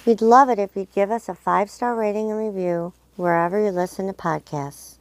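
A woman delivers an upbeat, scripted promo in a voice of ordinary pitch. The recording is close and dry, with no room sound at all. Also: fairly even intonation, a little fast, no echo. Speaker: podcast outro announcer.

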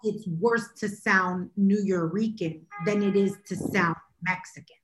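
A woman's voice: mid-range voice, steady tone, measured pace, background noise. A woman co-hosting a casual podcast chat, talking in an emphatic, opinionated way. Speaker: podcast co-host.

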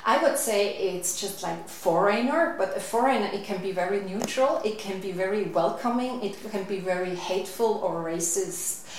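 A woman from Switzerland giving thoughtful, measured interview answers. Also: medium pitch. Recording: very echoey room with ambient noise.

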